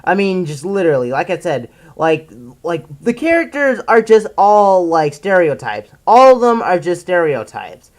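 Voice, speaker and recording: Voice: medium pitch, big pitch swings. Exasperated reviewer ranting through a countdown. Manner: exasperated, emphatic ranting with drawn-out stressed words. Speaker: video reviewer presenting a countdown list. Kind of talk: casual opinionated commentary.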